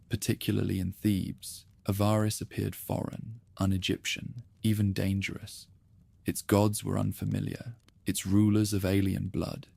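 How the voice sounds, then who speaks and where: A man narrating history in a calm, slow, soothing sleep-story style. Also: lowish voice, vocal fry, no echo. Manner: slow, soothing, measured delivery.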